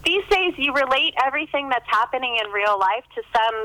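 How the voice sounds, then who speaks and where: A woman's voice: high-pitched, expressive, brisk, bright, forceful tone, no room sound, low-quality recording. A woman author talking casually about her work as an interview guest, chatty and rambling, often quoting imagined dialogue.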